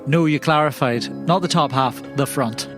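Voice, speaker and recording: A man's voice: a little high, moderate pace, a bit bright, soft background music, close to an everyday voice. An actor playing a wry, weary male agent with an offhand manner in a comedic audio drama.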